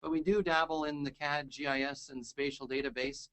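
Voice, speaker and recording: A man hosting a software webinar, upbeat and joking, enthusiastic as he talks with a colleague. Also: a little high, steady tone, a little fast. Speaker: webinar host. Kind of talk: casual webinar chat.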